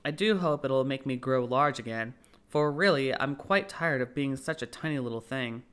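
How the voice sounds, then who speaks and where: A man's voice: medium pitch, expressive, normal speed, fairly bright tone, clean tone, natural voice. Curious storybook little girl read by a man, polite, earnest, musing and a touch worried. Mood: curious, hopeful. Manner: musing, earnest, chattering to herself. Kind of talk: audiobook character voice.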